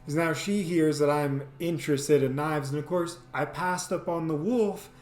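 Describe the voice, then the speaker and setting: A man's voice: on the high side, normal speed. A man telling a casual, comedic personal story as a host, animatedly acting out bits as he goes. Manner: animated, acts out quoted lines and sound effects. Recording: echoey, roomy sound.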